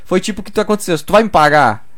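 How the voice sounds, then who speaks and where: A man's voice: high voice, expressive, rapid-fire, a bit bright. A young man in Brazil, telling stories in a loose, slangy casual chat full of "cara". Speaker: podcast guest.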